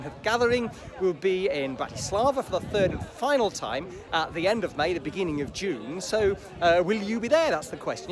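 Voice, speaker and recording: A chatty man with a slightly high voice talks to camera outdoors at a park event. He fills pauses with "uh" and restarts his sentences. A clear crowd buzz and passing traffic sit behind him. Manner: chatty, with frequent fillers and restarts. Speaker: language learning vlogger. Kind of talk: casual vlog update to camera. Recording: outdoor recording with crowd noise.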